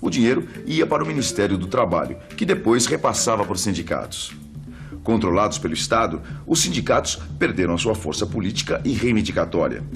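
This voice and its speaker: Deep-voiced Brazilian man narrating. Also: very animated, quick pace, over music. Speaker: presenter. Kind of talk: educational documentary narration, conversational.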